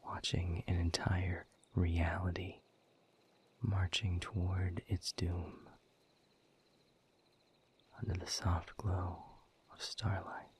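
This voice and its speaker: A man playing a weary, bitter exiled demon in an audio drama, speaking in a gravelly, breathy half-whisper with a slow, sardonic delivery. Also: low voice, little pitch movement, darkish tone, a little hiss or hum. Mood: brooding bitterness. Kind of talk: dramatic audio roleplay monologue to the listener.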